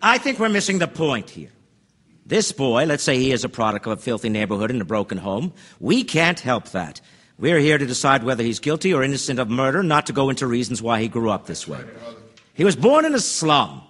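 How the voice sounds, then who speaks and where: A man's voice: medium pitch, big pitch swings, brisk, a bit bright. A man playing a methodical, self-assured, formal juror in an audio theatre drama, speaking precisely. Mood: assured, cold. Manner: precise, orderly.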